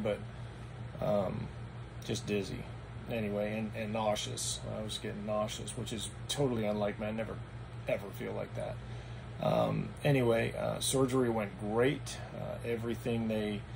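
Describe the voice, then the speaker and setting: A man with a raspy, hoarse voice, talking through a casual vlog recap at home in a meandering, matter-of-fact way. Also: on the low side. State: hoarse after anesthesia. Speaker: vlogger. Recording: noticeable background noise.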